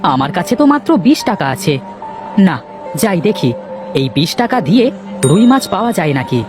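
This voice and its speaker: A poor village father in a cartoon, voiced by a man. He sounds plaintive and soft-hearted, with a high, very expressive voice over light music. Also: moderate pace. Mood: despairing. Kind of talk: Bengali cartoon voice acting.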